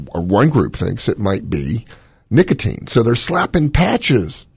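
Doctor guy on call-in radio, smoky husky voice, goes on and on with his theories. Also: medium pitch, sing-song, a little slow, a little mellow, no room sound, clean studio sound. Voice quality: smoky, gravelly voice. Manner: opinionated, rambling explanations. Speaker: cardiologist radio host.

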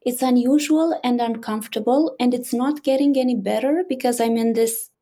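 This is a woman hosting an interview in a language that is not her first, since she is a native Romanian speaker. Her voice is husky and slightly breathy. She speaks attentively and often murmurs agreement. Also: on the high side, moderate pace. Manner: attentive, many soft affirmations, some restarts. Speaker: interview host. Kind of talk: conversational interview.

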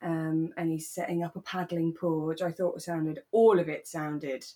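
A British woman co-hosting a podcast, chatting in a relaxed way about a radio drama. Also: low-pitched, moderate pace. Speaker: podcast co-host.

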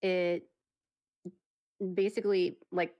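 A woman hosting a podcast, reading a listener's creepy encounter story aloud in a steady storytelling manner. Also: fairly low pitch, slow pace, clean tone. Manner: steady storytelling read, plain and even.

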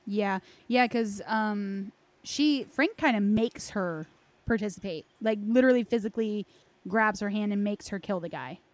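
A woman's voice: medium pitch, moderate pace, no echo. A woman hosting a casual discussion, speaking in a loose, conversational way with plenty of hedging. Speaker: host of a game lore discussion.

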